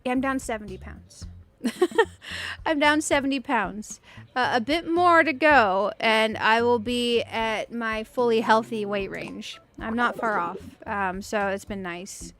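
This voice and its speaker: A woman in her late thirties with a high voice that sounds younger than her age. She rambles in a chatty, giggly way, as a streamer talking to viewers during a game. Music plays behind her. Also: slow pace, fairly bright tone. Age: late 30s. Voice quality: youthful-sounding voice. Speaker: streamer and video game host. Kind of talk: casual livestream chat over video game play.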